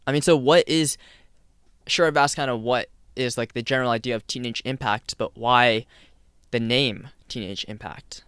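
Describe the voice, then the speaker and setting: Smooth-voiced young podcast host. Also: male voice, mid-range voice, moderate pace, no echo, clean studio sound. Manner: relaxed, affirming, uses "kinda" often. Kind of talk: casual interview chat.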